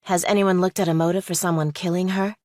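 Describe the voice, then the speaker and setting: A woman's voice: on the low side, little pitch movement, fast, a little mellow, no echo, clean studio sound, close to an everyday voice. A woman playing a shrewd young law student in an audiobook, direct, questioning and analytical. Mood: cool, matter-of-fact. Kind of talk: audiobook character dialogue.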